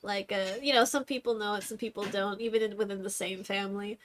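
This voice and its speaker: A woman's voice: medium pitch, fairly even intonation, fast talker, a little hiss or hum. A woman from the Philippines chatting casually as a podcast co-host, often giggling mid-sentence. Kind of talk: casual chat.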